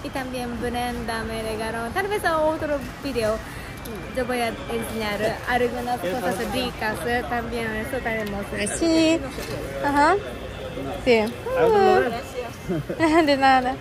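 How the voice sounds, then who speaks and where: Japanese-native woman, high-voiced travel vlogger, slow hesitant Spanish over loud airport noise. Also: a loud crowd. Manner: halting learner's Spanish, frequent fillers. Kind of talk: casual travel vlog narration. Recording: noisy airport background.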